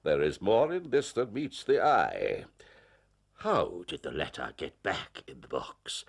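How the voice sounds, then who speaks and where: An actor playing a shrewd, authoritative Victorian prime minister in a period drama, deliberate, with a gravelly, husky voice. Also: male voice, low-pitched, wildly swooping pitch, full character voice. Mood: shrewd suspicion.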